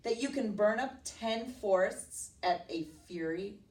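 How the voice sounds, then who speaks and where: A woman's voice: medium pitch, a little slow, echoey room, distant mic, close to an everyday voice. A woman voicing a bold, clever young princess from a storybook, with emphatic, goading exclamations. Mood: eager, challenging.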